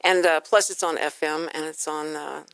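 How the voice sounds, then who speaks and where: A woman's voice: sits low, steady tone, moderate pace, creaky, fairly bright tone, clean tone, no room sound. A woman hosting a casual radio interview, chatty and effusive with exclaiming reactions. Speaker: radio show host. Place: radio studio.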